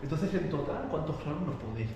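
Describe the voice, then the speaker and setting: A man's voice: fairly high pitch, fast, noisy background. A man in his forties hosting a travel vlog, interviewing dance teachers with eager, encouraging energy. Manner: lively, eager, frequent encouraging backchannels. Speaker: host. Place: bar and dance hall. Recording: echoey hall with music behind.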